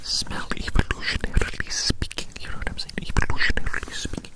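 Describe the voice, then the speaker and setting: Asmr doctor roleplay person, all whispers, breathy, rambly and playful, says stuff over and over. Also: a little fast. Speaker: ASMR performer playing a doctor.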